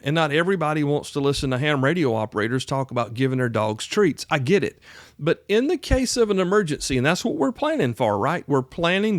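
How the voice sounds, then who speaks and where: A man's voice: on the high side, no echo, studio quality. A man hosting an instructional podcast in a plain-spoken, folksy, emphatic way, often checking in with the listener. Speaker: podcast host, radio instructor.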